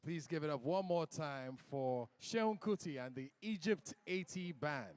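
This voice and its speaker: A man's voice: fairly high pitch, lively intonation, unhurried, a little mellow, no echo. A Nigerian man with a Nigerian accent, hosting a live stage event and hyping up the crowd.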